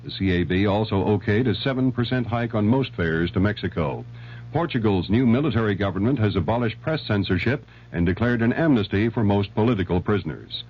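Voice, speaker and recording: A man reading a 1970s radio news bulletin in a husky voice. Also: on the low side, expressive, brisk. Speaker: radio newsreader. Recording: dull old radio recording.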